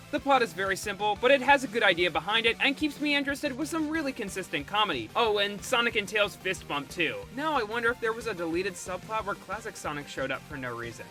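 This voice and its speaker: A man's voice: sits high, pushed and bright. A man narrating a scripted cartoon review, with snarky asides and music behind him. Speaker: video essayist and reviewer. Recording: background music under the voice.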